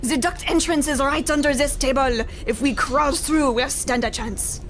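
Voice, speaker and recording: A woman's voice: high voice, fairly even intonation, moderate pace, heavy background noise, lightly acted. A woman playing a coquettish femme fatale opera singer, in an old-time radio comedy style. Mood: eager, conspiratorial. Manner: coquettish, theatrical.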